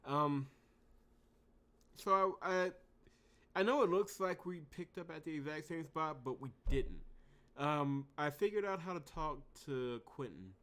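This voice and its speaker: A man's voice: medium pitch, a bit bright. A man hosting a video game playthrough, talking off the cuff in an exasperated, emphatic way that swings between outbursts and muttering. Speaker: gaming channel host. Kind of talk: unscripted let's play commentary.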